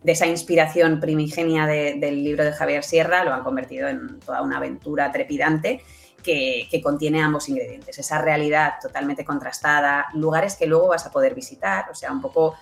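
Spanish woman guest chatting in an interview, really low voice, explaining stuff, faint music under it. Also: steady tone, brisk, creaky. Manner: explanatory, seeks agreement with tag questions. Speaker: interview guest presenting an audio drama. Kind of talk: conversational interview.

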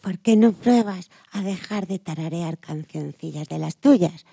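A woman's voice: on the low side, unhurried, gravelly, mellow, breathy edge, no echo, clean studio sound, full character voice. A woman playing a meddling, coaxing acquaintance who hands out advice in a children's radio play. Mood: coaxing, critical. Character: meddling acquaintance giving a girl advice on her looks.

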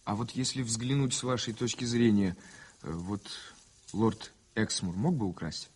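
Curious, courteous husky gentleman. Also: on the low side, fairly even intonation, unhurried. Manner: inquisitive, courteous. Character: curious, politely probing male social acquaintance. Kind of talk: 1980s radio play acting.

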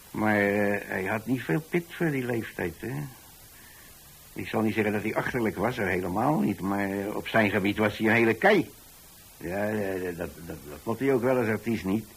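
A man's voice: mid-range voice, husky, poor audio. An actor playing an older retired landlord, tolerant and folksy, who tells his story hesitantly and repeats himself in a radio monologue.

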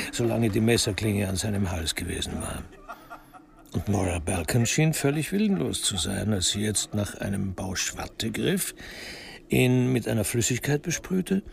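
An actor as the dry, wry narrator of a radio crime drama, telling the story in a measured, literary way. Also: male voice, on the low side, gravelly.

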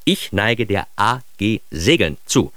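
A man's voice: lowish voice, sing-song, slow pace, a bit bright, clean tone, dry recording, heavily acted. An actor playing an ironic, self-important man who narrates his childhood in a radio drama, with wry, mock-grandiose emphasis. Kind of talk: scripted first-person radio drama narration.

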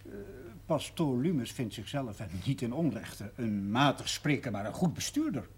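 An actor playing a tactful yet pointed village schoolmaster, courteous and husky, in a 1970s Dutch television drama. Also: male voice, medium pitch, very animated, a little hiss or hum, natural voice. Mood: pointed, reasoning. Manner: courteous, deliberate.

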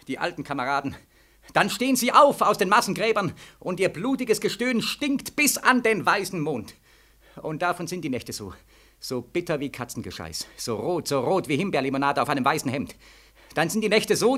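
An actor playing a bitter, sleepless young war veteran in 1940s German radio drama style, feverish and sardonic, repeating himself between weary murmurs and anguished cries. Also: male voice, high voice, bright, forceful tone.

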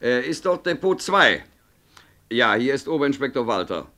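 An actor playing an officious but familiar chief inspector in a radio play, giving brisk instructions as if on the telephone. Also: male voice, mid-range voice, very animated, sharp tone. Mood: officious, cautioning. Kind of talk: German radio play acting.

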